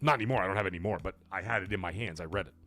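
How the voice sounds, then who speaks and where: A man hosting a casual gaming live stream, rambling excitedly with fillers and restarts, greeting chat viewers and swearing casually. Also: sits low, racing, soft background music. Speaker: livestream podcast host.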